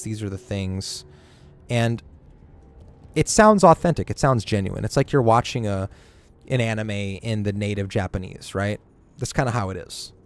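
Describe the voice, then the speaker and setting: A man streaming a game, chatting casually and giving rambling, opinionated takes with plenty of swearing. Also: on the low side. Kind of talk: casual gaming stream chat.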